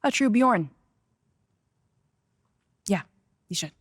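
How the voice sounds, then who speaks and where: A woman's voice: medium pitch, animated, very slow, no echo, studio quality. A woman playing a bossy, quirky athlete's handler with an American accent, rambling chattily in a video game. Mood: breezy, persuasive. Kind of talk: conversational video game cutscene acting.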